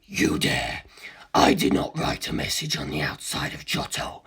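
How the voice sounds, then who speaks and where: A narrator voicing an ancient, arrogant superhuman male villain in an audiobook, lecturing humans with cold contempt in a rasping, breathy growl. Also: extremely low pitch, flat delivery, a little slow, fairly bright tone, whispered, pitched below the performer's own voice, full character voice. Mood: stern. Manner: condescending, lecturing, disdainful. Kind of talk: audiobook character dialogue.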